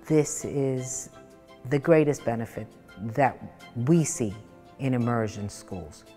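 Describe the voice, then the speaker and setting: A woman educator in an interview, deliberate and emphatic. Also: deep voice.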